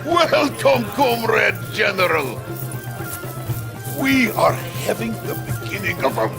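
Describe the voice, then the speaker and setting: An actor playing a jovial, boastful middle-aged Soviet premier in a game cutscene, with a Russian accent and theatrical broken English. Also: male voice, very high-pitched, very animated, slow pace, gravelly, music in the background, exaggerated voice. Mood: jovial, grandiose. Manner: theatrical, savoring each phrase, broken English. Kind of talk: video game cutscene acting.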